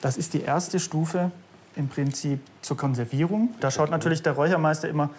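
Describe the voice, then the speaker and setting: A man's voice: on the high side, fairly quick, darkish tone, a little hiss or hum. Friendly man explaining fish smoking. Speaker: fish smoker. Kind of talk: explaining his craft. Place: small smokehouse workshop.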